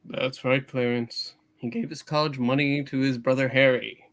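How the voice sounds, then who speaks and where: A man's voice: mid-range voice, fairly even intonation, moderate pace, a bit bright. A man narrating a hammed-up play reading in a storytelling style.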